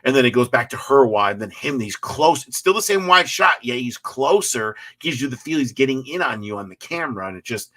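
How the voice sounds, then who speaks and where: A man in his forties, a guest filmmaker, talking movies with emphatic enthusiasm and quick restarts. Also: mid-range voice. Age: 40s. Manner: enthusiastic, emphatic, repeats words when excited. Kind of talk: casual live-stream chat.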